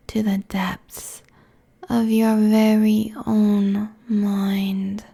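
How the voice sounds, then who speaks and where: A woman performs an ancient succubus queen for an ASMR audio roleplay. She whispers in a dark, breathy voice, drawing each phrase out very slowly. Her manner is seductive, haughty and cruel, with menace held low and even, close to a binaural mic. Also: heavily acted. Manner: slow, deliberate, taunting, haughty. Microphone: close binaural microphone.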